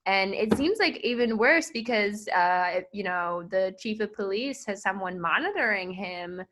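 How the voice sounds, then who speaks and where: A woman's voice: medium pitch, lively intonation, measured pace, fairly bright tone. A woman co-hosting an improvised mock true-crime podcast, casual and hedging in her delivery. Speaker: podcast co-host.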